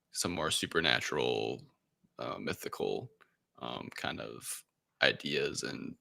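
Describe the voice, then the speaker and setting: A man hosting a casual film review discussion, recapping in a halting, hedging way. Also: low-pitched, creaky, sharp tone. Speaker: podcast host.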